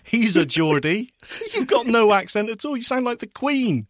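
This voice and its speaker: Middle-aged guy, slight bristol twang, bouncy lively voice, dry close mic. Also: very high voice, no echo. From Bristol, England. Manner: bantering, quick to tease. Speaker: podcast co-host. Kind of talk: casual chat.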